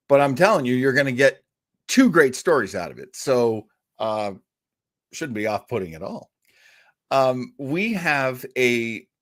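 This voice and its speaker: Chatty, animated older male podcast host. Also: mid-range voice. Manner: chatty and enthusiastic, rambling asides. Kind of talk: casual chat.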